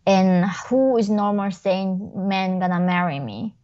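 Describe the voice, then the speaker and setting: A woman's voice: medium pitch, lively intonation, warm tone, dull audio. A young woman with a Korean accent, speaking English as a second language, telling her personal story in an interview over a video call from her kitchen. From North Korea. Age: young adult. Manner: fast, emphatic, frequent "like" fillers. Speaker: guest. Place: home kitchen. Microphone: wired earbuds on video call.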